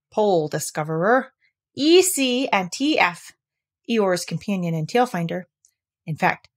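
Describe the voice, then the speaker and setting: A woman narrates a children's storybook aloud. Her pitch is medium and her delivery is expressive, as storytelling for young listeners.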